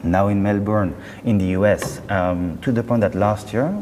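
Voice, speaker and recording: A man being interviewed as a company representative, chatting casually in a halting, self-correcting way. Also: lowish voice, fairly even intonation, darkish tone. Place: indoor hi-fi show. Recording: roomy hall, clear background noise.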